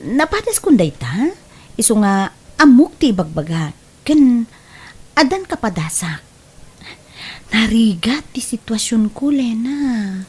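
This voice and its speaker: Woman playing an animated, husky young girlfriend confidante. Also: fairly high pitch, slow, pauses often, exaggerated voice. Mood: admonishing, frank. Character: concerned, candid young female friend. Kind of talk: Ilocano radio drama acting.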